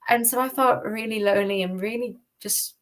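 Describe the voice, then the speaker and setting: A woman's voice: fairly high pitch, brisk. A woman from the UK with a British accent, sharing personal testimony as a podcast guest in informal, conversational speech peppered with "like".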